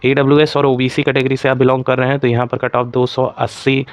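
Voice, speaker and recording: A man's voice: medium pitch, flat delivery, fast talker, no echo. A man presenting an exam analysis for students, explaining in an instructive way, addressing viewers directly and mixing in English terms.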